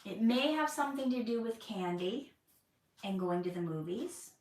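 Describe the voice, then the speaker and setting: Woman, candid actress, high voice, slightly off-mic, echoey room. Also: lively intonation, normal speed, a little mellow, distant mic, a little hiss or hum. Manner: chatty, candid, laughs easily. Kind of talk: casual livestream Q&A chat. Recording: roomy echo, voice from off-mic.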